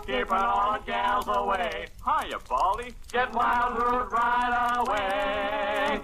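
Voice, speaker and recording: High male radio jingle singer. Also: slow pace. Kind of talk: 1940s radio advertising jingle singing. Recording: old radio recording with music.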